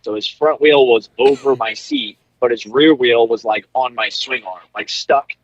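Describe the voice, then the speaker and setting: A man's voice: mid-range voice, moderate pace, no echo. Laid-back young male racer, rambling guest, muffled remote call audio, dry sound. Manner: relaxed storytelling with many fillers. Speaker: guest, pro motocross racer. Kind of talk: casual interview over a call.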